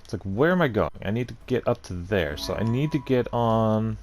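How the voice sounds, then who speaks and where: A man's voice: lowish voice, lively intonation, normal speed, darkish tone. A man hosting a gaming video, thinking aloud in casual, hesitant commentary as he plays. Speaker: gaming channel host playing a game.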